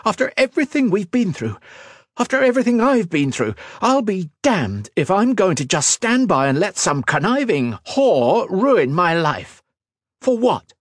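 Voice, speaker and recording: A man's voice: high-pitched, very animated, unhurried, no room sound, studio quality, pitched above the performer's own voice, exaggerated voice. A man voicing a furious, defiant princess in an audiobook, snapping with indignant emphasis.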